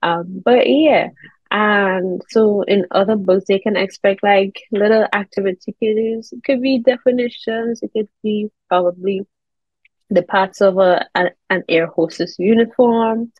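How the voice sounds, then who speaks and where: A woman's voice: mid-range voice, steady tone, unhurried, no echo. Caribbean woman, slightly muffled call audio. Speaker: interview guest, air traffic controller and children's author. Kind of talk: conversational interview answers. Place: indoors on a video call.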